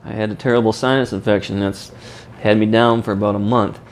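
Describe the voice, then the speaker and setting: A man demonstrating and explaining a vocal warm-up, his voice a little rough from a recent sinus infection. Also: on the low side, fairly even intonation, brisk, faint music behind. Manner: explains while demonstrating sung vowels. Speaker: singing teacher.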